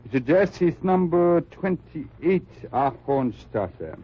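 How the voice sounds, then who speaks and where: An actor playing an old German resistance leader, cautious and deliberate, in an old radio drama. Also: male voice, a little high, animated. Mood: conspiratorial. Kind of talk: 1940s-50s radio drama acting. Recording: dull, noisy old radio recording.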